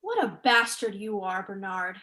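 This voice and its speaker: A woman's voice: mid-range voice, very animated, a little slow, very noisy. Woman acting dry, sceptical female researcher. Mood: dry, reproachful. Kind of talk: staged play reading.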